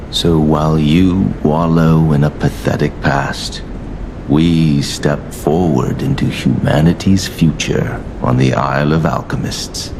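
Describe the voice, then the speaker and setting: An actor playing a grandiose, condescending alchemist leader in a video game, speaking with measured, mock-courteous pomp. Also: male voice, extremely low pitch, gravelly, background noise, exaggerated voice. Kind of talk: theatrical video game villain monologue.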